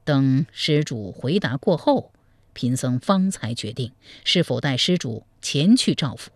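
A man storyteller voicing a sly, scheming male Buddhist monk who counsels in a slow, hushed manner. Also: mid-range voice, animated, dry recording, voice pushed down. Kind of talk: storytelling, acted dialogue.

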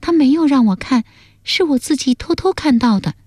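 Woman voicing a plaintive young princess, high-pitched. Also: expressive, normal speed, a little mellow, no room sound, studio quality. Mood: bewildered. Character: young princess, devoted, naive, anguished daughter. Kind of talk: dramatised Chinese historical audiobook.

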